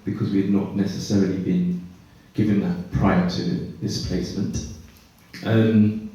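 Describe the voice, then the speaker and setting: A man on a conference panel telling his own story, reflective and pausing mid-thought. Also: low voice, little pitch movement, dark tone, highs cut off. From Britain. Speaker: panelist, care leaver. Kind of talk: personal testimony on a panel. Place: conference hall. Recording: echoey hall, voice far from mic.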